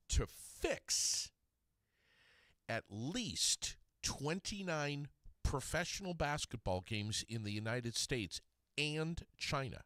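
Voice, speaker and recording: A man hosting a radio talk show, chatting casually and reading out a news story with animated emphasis. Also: mid-range voice, no echo, clean studio sound.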